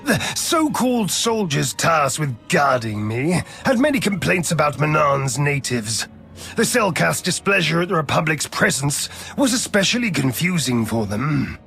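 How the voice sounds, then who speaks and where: Imperious, gravelly Sith lord. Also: male voice, a little high, big pitch swings, fairly bright tone, soft background music, full character voice. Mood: scornful scheming. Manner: imperious, formal, deliberate. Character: arrogant, power-hungry male Sith lord, cold and scheming. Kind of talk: dramatic video game voice acting.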